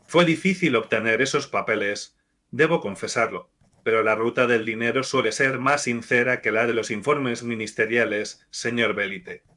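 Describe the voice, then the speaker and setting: A man's voice: medium pitch, moderate pace, bright, forceful tone, natural voice. A man playing a frank, wry investigator in an audio drama. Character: frank, wry male investigator helping a detective.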